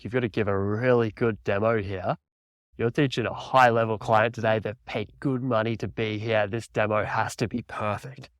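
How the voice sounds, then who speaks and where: A man's voice: lowish voice, no room sound, studio quality. A man in his thirties with an Australian accent, hosting a casual solo podcast in an upbeat, enthusiastic, laid-back way. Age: 30s. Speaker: podcast host and mountain bike coach.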